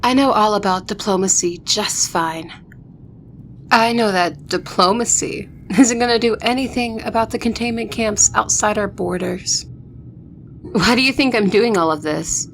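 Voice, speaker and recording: A woman's voice: fairly high pitch, a bit bright, a little hiss or hum. A woman playing an arrogant, ruthless vampire princess in an audio roleplay, speaking in a haughty, threatening way. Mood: heated, defiant. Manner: haughty, threatening, slow deliberate delivery. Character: arrogant vampire princess, ruthless eldest sister, war-hungry.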